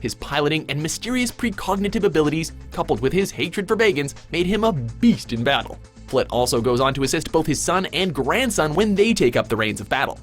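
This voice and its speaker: Male countdown narrator, quick and punchy, slightly high-pitched, playful scripted read over light music. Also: very animated. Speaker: narrator of a top ten countdown. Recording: music behind the voice. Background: background music.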